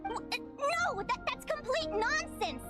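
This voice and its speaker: A tiny childlike fairy sidekick from a video game. The voice is squeaky, extremely high and animated. It sounds cute and easily indignant, over clear music. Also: female voice, a little slow. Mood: flustered.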